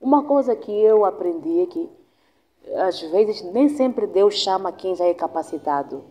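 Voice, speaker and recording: A woman's voice: medium pitch, expressive, mellow. Earnest woman pastor, Swahili native. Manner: earnest, reflective, with pauses and restarts. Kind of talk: testimony in a conversational interview.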